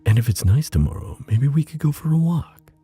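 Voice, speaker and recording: A man voicing a shy, lonely hermit in a bedtime storybook, hesitant and warming to friendship. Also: mid-range voice, big pitch swings, a little fast, soft background music, voice pushed up, natural voice. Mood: hopeful, shy.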